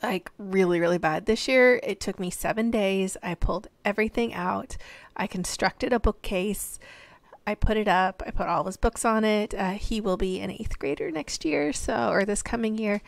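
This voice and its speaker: A woman in her thirties from the American Midwest, hoarse and losing her voice, chatting in a rambling voiceover at home. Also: medium pitch, moderate pace, no echo. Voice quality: tired, yawning. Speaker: reseller vlogger.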